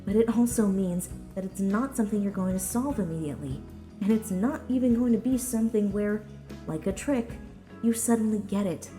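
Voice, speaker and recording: A woman plays a sensible female storybook pony, patient and reassuring. Her voice is soft-edged and mellow at a middle pitch, speaking earnestly and gently over music. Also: close to an everyday voice. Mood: gently encouraging. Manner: earnest, encouraging, measured.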